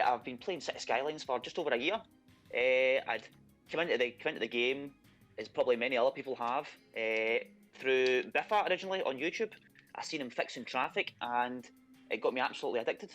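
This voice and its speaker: A man with a thick Scottish accent chats casually and self-deprecatingly, filling his sentences with "uh" and "eh" as he talks. His pitch sits in a middling range for a man. Faint music plays underneath. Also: a little fast, fairly bright tone, lo-fi sound. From Scotland. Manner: chatty, self-deprecating, many "uh" fillers. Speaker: contestant. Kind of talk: casual interview chat.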